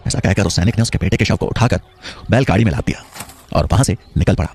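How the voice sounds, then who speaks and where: A man's voice: deep voice, quick pace, vocal fry, darkish tone, a little hiss or hum. A man narrating a scripted story in a dramatic storytelling style. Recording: background music.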